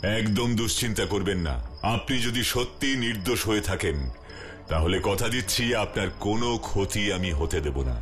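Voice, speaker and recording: A man voicing a steady, fair-minded superhero investigator in a children's cartoon, with an authoritative manner. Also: lowish voice, normal speed, music in the background, natural voice. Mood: firm, reassuring. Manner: questioning, authoritative, reassuring. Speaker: voice actor in a cartoon. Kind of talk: dubbed children's cartoon dialogue.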